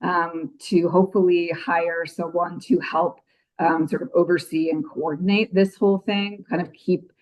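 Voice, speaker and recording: A woman's voice: fairly low pitch, flat delivery, a little slow, lo-fi sound. A woman on a commission, her voice a little scratchy, giving a grant and project update with many ums at a public meeting. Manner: frequent ums, apologetic. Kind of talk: meeting report.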